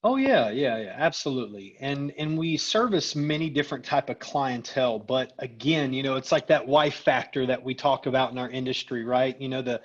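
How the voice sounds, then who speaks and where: A man's voice: mid-range voice, normal speed, few pauses, highs cut off. A middle-aged man being interviewed as a company executive, chatting casually and often checking in with the listener. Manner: conversational, peppers talk with "you know" and "right?".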